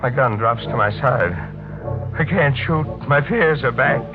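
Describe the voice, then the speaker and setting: An actor playing a brooding, haunted killer who has lost himself, in a hard-boiled 1940s radio mystery style. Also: male voice, medium pitch, animated, a little slow, close to an everyday voice. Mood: fearful. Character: haunted amnesiac male killer and racket boss, brooding, unhinged. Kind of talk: 1940s radio mystery acting with first-person narration. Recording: tinny 1940s radio, music behind.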